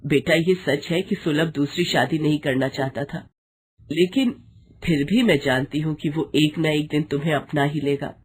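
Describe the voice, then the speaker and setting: A woman voicing a caring, protective older mother-in-law, soothing and gently commanding, in a moral story. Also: deep voice, fairly even intonation, normal speed, a little hiss or hum, close to an everyday voice. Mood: reassuring.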